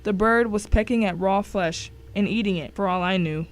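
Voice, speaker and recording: A woman's voice: mid-range voice, moderate pace, rough recording. A woman giving a dramatic first-person reading of a short story as one of its narrators.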